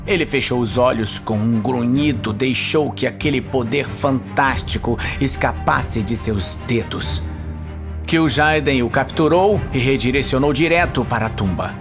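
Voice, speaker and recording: A man with a Brazilian accent narrating a fantasy audio series in a solemn, suspenseful, measured style. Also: medium pitch. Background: dramatic music.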